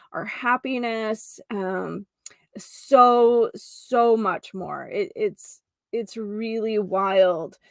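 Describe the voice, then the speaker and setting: A woman hosting a spiritual healing show, explaining casually and earnestly to camera with informal asides, her voice creaky with vocal fry. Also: medium pitch, dry recording, clean studio sound. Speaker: show host and energy healer.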